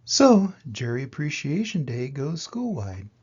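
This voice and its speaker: An older man reading a children's picture book aloud in an expressive way, with dramatic pauses and emphasis. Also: mid-range voice, husky, lo-fi sound. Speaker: reader of children's picture books. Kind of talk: animated storybook read-aloud.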